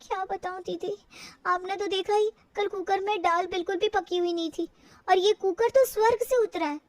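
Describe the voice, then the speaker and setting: Woman doing a whiny, very high young daughter-in-law. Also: fairly even intonation, moderate pace, no echo. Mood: plaintive. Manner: plaintive, sarcastic.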